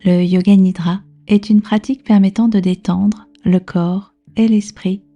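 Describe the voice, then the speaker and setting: A woman with a soft, breathy voice leading a guided relaxation as a yoga nidra instructor, soothing, unhurried and gently instructing. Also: medium pitch, steady tone, dull audio. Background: background music.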